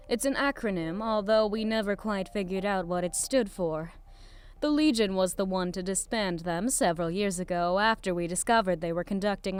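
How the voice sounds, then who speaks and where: A woman playing an eccentric, curious lab scientist in an audio drama, musing and rambling in an excitable way. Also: medium pitch, clean tone, close to an everyday voice. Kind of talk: scripted audio drama acting.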